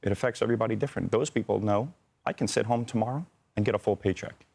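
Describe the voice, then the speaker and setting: A man working as an air traffic controller answers news interview questions in a plain, matter-of-fact, halting way. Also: on the low side, gravelly, a little mellow.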